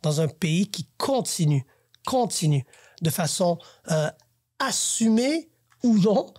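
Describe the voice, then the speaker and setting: Earnest man, husky voice. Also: sits high, lively intonation, takes it slowly, a little mellow, no echo, studio quality. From Haitian family, raised in Canada. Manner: earnest, thinking aloud with restarts. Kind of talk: casual panel discussion.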